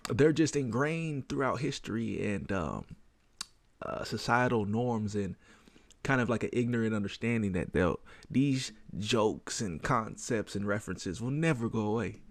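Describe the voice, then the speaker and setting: A man's voice: lowish voice, animated, measured pace, no room sound. A young man hosting a reaction video, talking casually and rambling as he thinks aloud. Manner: laid-back, rambling, repeats words while thinking. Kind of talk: casual commentary on a played video.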